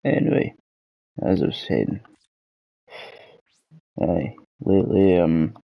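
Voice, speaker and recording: Low gravelly Scottish man with a cold. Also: big pitch swings, slow pace, dry recording, highs cut off. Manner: rambling, sweary, self-deprecating. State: hoarse with a cold. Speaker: gaming channel host. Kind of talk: casual gaming vlog chat.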